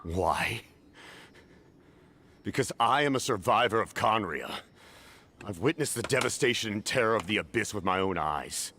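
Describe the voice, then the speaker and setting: A man's voice: lowish voice, lively intonation, normal speed, pauses often, sharp tone, slight background noise. A man playing a grim, haunted military commander in a fantasy game, stern and intense in his delivery. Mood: insistent. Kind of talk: scripted fantasy game acting.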